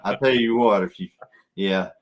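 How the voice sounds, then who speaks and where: A man's voice: fairly low pitch, moderate pace. A man hosting a casual chat, laid-back as he answers viewer questions. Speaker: host.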